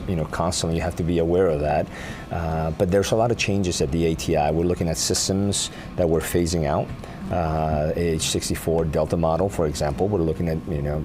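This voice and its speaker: A very deep-voiced man from Peru speaks as an army sergeant major in a live broadcast interview. He talks earnestly and in measured steps, often restarting his phrases. Clear background noise from an outdoor event runs under his voice.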